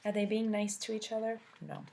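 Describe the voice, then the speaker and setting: A woman teacher reading a picture book aloud to children, expressive and animated, asking her listeners questions along the way. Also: mid-range voice, brisk, creaky, noisy background.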